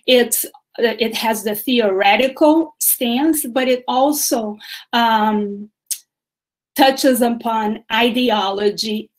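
Middle-aged brazilian woman teaching online, webcam mic, lots of "right?" and "um"s, explains things patiently. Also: mid-range voice. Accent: Brazilian Portuguese. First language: Portuguese. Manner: explanatory, often tags "right?", fillers "uh" and "um". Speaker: university lecturer. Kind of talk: online lecture with slides. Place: home room with bookshelves, indoors. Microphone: video call webcam.

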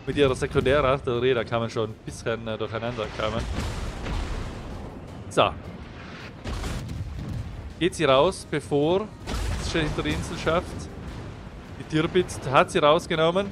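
A man's voice: medium pitch, fairly even intonation, moderate pace, long pauses, music in the background. A young man with a slight cold, explaining a naval game replay as he commentates over it, getting livelier when the action starts. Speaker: gameplay commentator.

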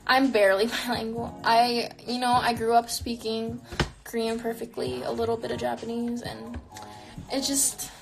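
A woman's voice: fairly high pitch, little pitch movement, normal speed, vocal fry, over music, rough recording. A woman in her twenties chatting casually on a live stream in a small bedroom, answering viewer questions and filling her talk with "like.". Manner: chatty, frequent "like" fillers, reflective. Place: small bedroom with neon lights, indoors. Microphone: phone camera close to face.

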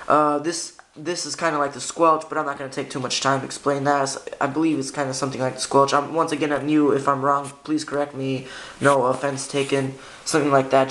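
A man's voice: medium pitch, a bit bright. A man giving a casual, unscripted gear walkthrough in a hesitant, informal way, often correcting himself, recorded on a handheld camera indoors. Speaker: hobbyist demonstrating a radio. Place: indoor room by a window. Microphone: handheld camera's built-in microphone. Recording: noisy amateur camera audio with clicks.

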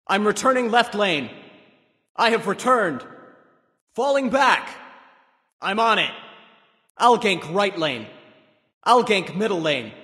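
High-pitched, proud warrior god. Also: male voice, sharp tone, long reverb tail, full character voice. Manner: crisp, declarative, theatrical commands. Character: proud, commanding warrior sage god with taunting confidence. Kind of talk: video game voice pack callouts.

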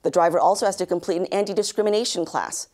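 A woman in her thirties anchoring a news bulletin, reading a script with measured, even delivery in a studio. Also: lowish voice. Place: studio news set, indoors.

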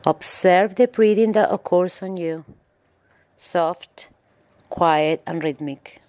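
A woman yoga instructor narrating a guided meditation in measured, instructional phrases with pauses. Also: fairly low pitch, a little mellow, no room sound.

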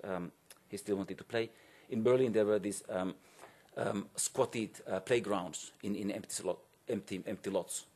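A middle-aged man giving an academic keynote lecture in a halting, hesitant way, often repeating words and pausing. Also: fairly low pitch, darkish tone. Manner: halting and hesitant, frequent repetitions and pauses. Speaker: keynote speaker. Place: indoor conference auditorium, on stage.

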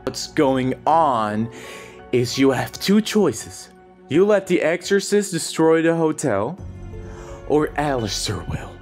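A woman's voice: deep voice, sing-song, slow, a little mellow, heavily acted. A woman voicing a stern, commanding female queen of hell in a fan comic dub. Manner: cold, commanding, terse. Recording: faint music behind.

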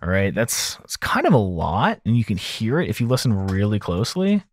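Low-pitched man giving a casual tutorial. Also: very animated, normal speed, no room sound. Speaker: audio engineer host of a mixing series. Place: small home studio room, indoors. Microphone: close large broadcast mic on an arm.

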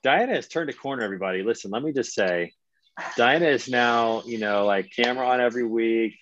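A man's voice: mid-range voice, fairly quick, a bit bright, a little hiss or hum. An American man in his forties hosting a casual chat over a video call, chatty and upbeat. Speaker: host. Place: indoors at home, on a video call. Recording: dull video-call audio.

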